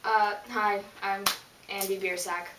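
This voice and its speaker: A woman playing a male rock singer in a mock interview, halting, deadpan and rambling. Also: mid-range voice, close to an everyday voice. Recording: roomy, distant mic, faint noise.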